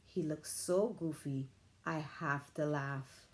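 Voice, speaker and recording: A woman, a teacher, reading a children's picture book aloud with expressive, animated storytelling. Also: deep voice, takes it slowly.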